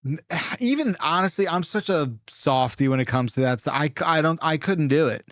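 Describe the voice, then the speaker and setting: A man's voice: on the high side, lively intonation, moderate pace, a bit bright, no echo. Casual male podcast host, close dry mic. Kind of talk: casual chat on a comedy call-in podcast.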